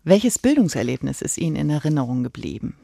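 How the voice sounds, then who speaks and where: A radio host conducting a culture interview, asking calm, measured questions. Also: mid-range voice, gravelly, no echo, clean studio sound.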